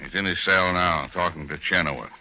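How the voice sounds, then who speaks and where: A weary police lieutenant. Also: male voice, deep voice, fairly quick, bright, forceful tone, a little hiss or hum, exaggerated voice. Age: middle-aged. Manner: weary, exasperated. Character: weary middle-aged male police lieutenant.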